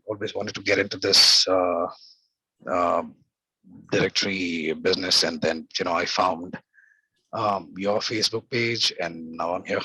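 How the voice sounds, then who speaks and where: Hesitant husky man from India. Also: lowish voice, pushed and bright, dry recording, poor audio. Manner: hesitant, many fillers and restarts. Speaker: webinar participant, company owner. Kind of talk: casual introduction on a video call. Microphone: video call.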